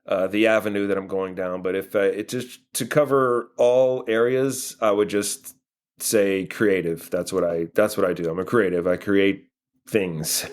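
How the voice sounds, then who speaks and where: An American man answering interview questions casually, in a halting way as he thinks aloud. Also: deep voice, fairly even intonation. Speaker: guest playwright and filmmaker.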